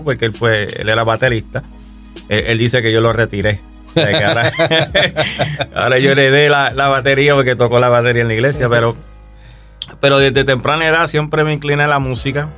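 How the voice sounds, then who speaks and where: A man with a Puerto Rican accent gives his testimony on a radio show, speaking in an easy, halting way full of fillers and restarts. Faint music plays softly beneath him. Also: mid-range voice. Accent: Puerto Rican Spanish. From Puerto Rico. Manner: relaxed, hesitant, many fillers and restarts. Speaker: guest musician.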